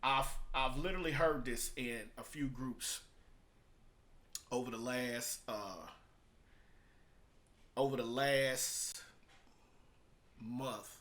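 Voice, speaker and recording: Guy doing an off the cuff review, regular pitch, slangy and emphatic, says things over again to drive them home. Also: slow pace, pauses often, fairly bright tone. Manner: emphatic, repetitive, colloquial. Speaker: vlogger reviewing a business book. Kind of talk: casual unscripted review and explanation.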